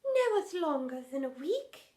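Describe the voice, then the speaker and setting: A woman's voice: sits high, very animated, measured pace, a little mellow, voice pushed up. A woman voicing a gushing, theatrical drama teacher from a children's storybook, speaking in mock-Shakespearean style with wobbling emotion. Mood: hopeful, pleading.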